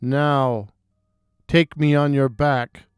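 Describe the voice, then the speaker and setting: A man voicing a sinister, commanding dead man risen from his tomb in a folktale, with drawn-out delivery. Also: mid-range voice, little pitch movement, smooth, dry recording, studio quality, soft background music. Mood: grim command. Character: commanding male walking corpse, blood-drinking and sinister.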